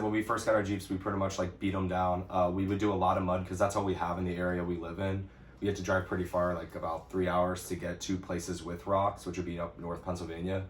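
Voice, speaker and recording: A man's voice: deep voice, level tone, brisk. A young American man chatting casually as he answers questions for an off-road vlog, easygoing and a bit hesitant. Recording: roomy echo with some background noise.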